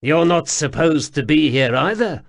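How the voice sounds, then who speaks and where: A man's voice: on the high side, a little slow, gravelly, voice pushed up. A man doing a frantic, wheedling cave-dweller man. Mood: agitated. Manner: loud, excitable, pleading.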